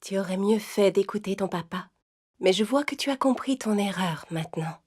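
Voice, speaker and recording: A woman voicing a kind, gentle good fairy in a cartoon story, speaking softly and quietly. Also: medium pitch, expressive, moderate pace, breathy edge. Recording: music playing underneath.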